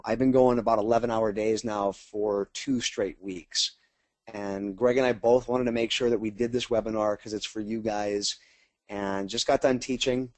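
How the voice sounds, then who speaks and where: A man's voice: mid-range voice, a little mellow, no echo. Chatty American man, dry close sound. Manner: informal, chatty, addressing attendees directly. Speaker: sales team trainer hosting a webinar. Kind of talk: casual webinar presentation.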